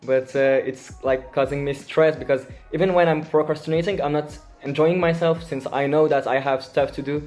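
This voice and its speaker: A man's voice: on the high side, steady tone, fairly quick, hardly any pauses, a little mellow, soft background music. Hesitant Moroccan teenage guy chatting. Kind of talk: casual chat.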